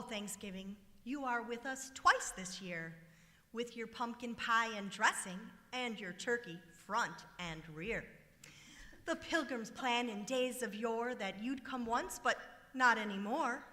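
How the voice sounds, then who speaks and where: A woman performs a vintage radio comedienne reciting comic rhymes. Her pitch swoops in a slow sing-song with a bright edge, echoing in a hall. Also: exaggerated voice. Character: comedienne reciting a silly holiday poem. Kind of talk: old-time radio comic verse recital. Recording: echoey hall live stage recording.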